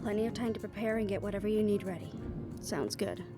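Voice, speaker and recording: A woman's voice: medium pitch, fairly even intonation, quick pace, natural voice. A woman playing a witty, confident young female adventurer in a playful, conversational audio roleplay. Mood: bantering. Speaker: amateur voice actor. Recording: music behind the voice.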